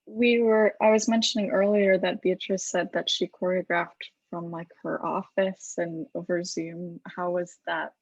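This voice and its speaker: A woman's voice: lowish voice, little pitch movement, unhurried, hardly any pauses, dry recording, dull audio. A woman moderating a casual question-and-answer session in an encouraging, polite way. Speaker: moderator of a post-show discussion. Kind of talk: casual hosted Q&A.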